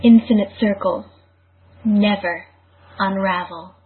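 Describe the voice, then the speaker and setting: A woman reading poetry aloud in a measured, literary style. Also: medium pitch, steady tone, husky. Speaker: poetry reader. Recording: thin, phone-like narrow audio.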